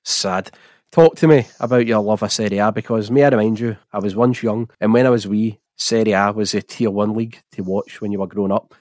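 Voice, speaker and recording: Scottish man, football podcast host. Low register, conversational interviewing, dry close sound. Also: steady tone, brisk, a little mellow, dry recording. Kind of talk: casual football chat interview.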